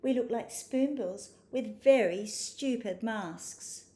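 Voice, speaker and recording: A woman's voice: on the high side, slow pace, dark tone, pitched above the performer's own voice. Woman as a blunt, doubting young spoonbill. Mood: blunt, dismissive.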